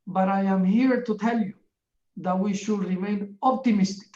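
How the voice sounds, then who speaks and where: A middle-aged man from Ecuador, chairing a webinar in a formal, earnest opening address, halting often with fillers. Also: high voice, fairly even intonation, a little mellow. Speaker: webinar chair, UN division director. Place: office in a large UN building. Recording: echoey, distant and dull-sounding.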